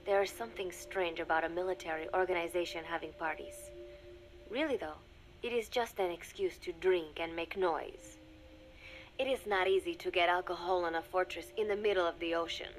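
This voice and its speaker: An actress playing a young secret agent confiding in a recorded diary, speaking in measured, formal phrases that often drop to a murmur, wistful and resigned. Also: female voice, lowish voice, a little hiss or hum, lightly acted, rough recording. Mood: wry, amused. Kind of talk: scripted dramatic monologue, recorded diary.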